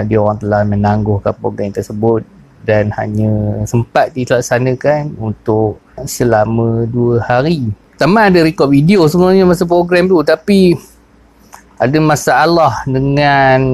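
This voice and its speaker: A man in his thirties speaks with a Perak Malay accent, talking through his sound job in an easy, unhurried way full of pauses and fillers. He is recorded close on a clip-on lapel mic in a small cluttered office. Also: mid-range voice, creaky. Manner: relaxed, many fillers and pauses. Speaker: sound technician. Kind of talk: casual explanatory vlog.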